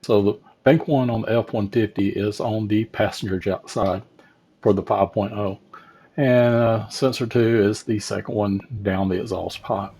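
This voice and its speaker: A man's voice: on the low side, steady tone, moderate pace, dark tone. Casual man narrating a car repair. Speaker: DIY car repair video host. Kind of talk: casual hands-on how-to narration.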